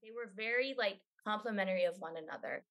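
Bright, effusive woman hosting a casual podcast. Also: medium pitch, quick pace. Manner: effusive, informal, swearing. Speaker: podcast host. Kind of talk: casual chat interview.

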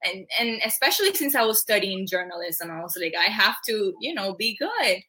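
A woman's voice: medium pitch, very animated, fairly quick, pushed and bright. A woman from Cuba, a journalism student, chatting casually and laughing as she tells stories. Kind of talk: casual chat.

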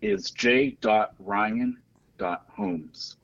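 A man's voice: fairly low pitch, drawn-out words. A man from Massachusetts as a podcast guest, easygoing in casual chat. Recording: slightly dull lo-fi audio.

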